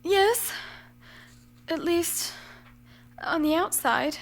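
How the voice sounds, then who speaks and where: A woman's voice: very high voice, lively intonation, extremely slow, vocal fry, heavily acted. A woman playing an earnest, anxious but plucky teenage girl in an audio drama. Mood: earnest, worried.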